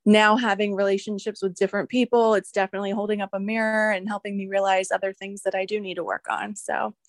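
Mujer de voz seca y cercana. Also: female voice, mid-range voice, level tone, fast talker, no room sound. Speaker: call participant. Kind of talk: personal sharing on a video call.

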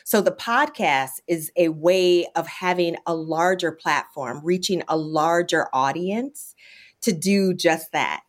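An American woman speaks as a guest in an interview, explaining her work. Her voice is pitched a little low for a woman. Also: takes it slowly. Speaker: interview guest, nonprofit executive director. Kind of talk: interview answers.

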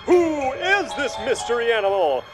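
A man playing an over-excited, melodramatic cliffhanger announcer in a children's show. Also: very high-pitched, normal speed. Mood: mock suspense. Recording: loud music under announcer.

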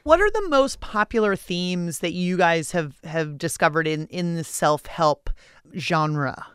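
A woman's voice: on the low side, expressive, a little slow, clear, resonant tone, no echo, clean studio sound. A woman hosting a radio show, interviewing guests in a casual, chatty way.

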